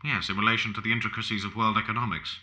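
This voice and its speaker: An actor playing an aging, self-assured fascist poet in an audio play, genteel and formal in manner. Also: male voice, on the low side, steady tone, rapid-fire, sharp tone. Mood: stubborn, defensive.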